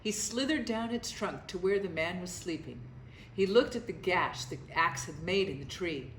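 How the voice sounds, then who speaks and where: A woman reading a children's story aloud in an expressive, narrated style. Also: on the low side, moderate pace. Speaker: storybook reader. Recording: echoey room with faint noise.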